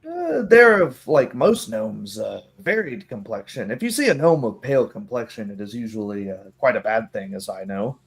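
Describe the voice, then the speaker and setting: A man's voice: fairly low pitch, big pitch swings, moderate pace, hardly any pauses. A man playing a proud, fatalistic noblewoman in a tabletop roleplaying game, resigned yet defiant. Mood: pondering, hesitant.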